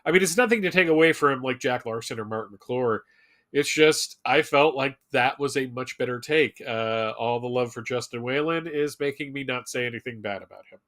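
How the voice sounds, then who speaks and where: A man's voice: medium pitch, normal speed, a bit bright. A man co-hosting a live show, chatting casually and reading viewer messages aloud.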